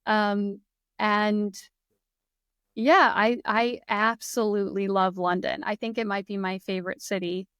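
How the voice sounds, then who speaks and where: Young female guest, Midwestern American accent, chatty and upbeat; smooth clear voice, dry close mic. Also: medium pitch, dry recording. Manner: enthusiastic, laughs often, chatty. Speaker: guest, English teacher. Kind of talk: casual conversation.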